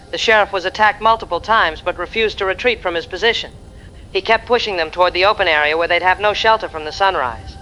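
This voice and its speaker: An emotional eyewitness in a radio play, acted by a man, reports in halting, dramatic bursts. The male voice is fairly high and forceful, with clear noise behind it. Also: lightly acted, poor audio. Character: shaken eyewitness caller from a fire crew team.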